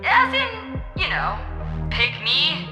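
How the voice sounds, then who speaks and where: Playful young female team owner for a game: boastful, animated pitch, echoing room. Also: slow, loud music behind the voice, heavily acted. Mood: cocky cheer.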